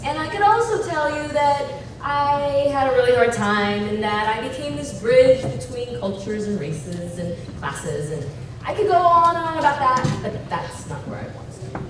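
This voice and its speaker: A woman from Tanzania, speaking at a fundraising brunch, shifts from animated joking banter to earnest, reflective personal storytelling. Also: high voice, a little slow. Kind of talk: personal story told onstage. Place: event hall at a brunch. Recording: echoey hall, far mic, ambient noise.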